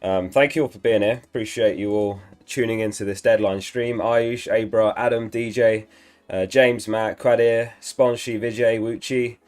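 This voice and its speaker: Slightly hoarse man hosting a live stream. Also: on the low side, steady tone, normal speed, a little mellow, faint music behind. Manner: reads out chat messages, chatty and upbeat. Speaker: livestream host. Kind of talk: casual live-stream chat.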